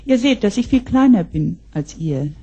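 A woman's voice: medium pitch, lively intonation, moderate pace, dark tone, husky, highs cut off. A woman interpreting a speaker line by line, with occasional hesitations. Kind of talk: consecutive translation into German.

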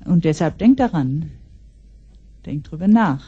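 A woman's voice: low-pitched, expressive, measured pace, warm tone, husky, highs cut off. A woman interpreting a speaker line by line, with occasional hesitations. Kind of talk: consecutive translation into German.

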